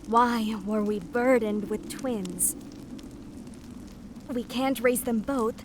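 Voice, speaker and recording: A woman playing a wistful wandering lute player of humble birth in a video game, telling her story in a theatrical, measured way. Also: a little high, noisy background.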